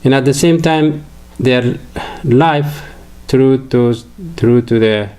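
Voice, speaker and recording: An older man from Afghanistan with an Afghan accent, a native Farsi speaker, giving a halting religious lecture full of fillers and restarts. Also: mid-range voice, warm tone, clean tone. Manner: hesitant, many fillers and restarts.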